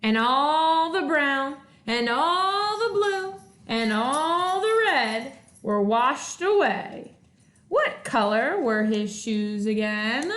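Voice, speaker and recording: Female primary teacher, high-pitched, expressive storytime read-aloud for little kids, echoey home room. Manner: animated, expressive, sing-song delivery. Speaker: first-grade teacher. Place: indoors at home. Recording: roomy home recording with echo.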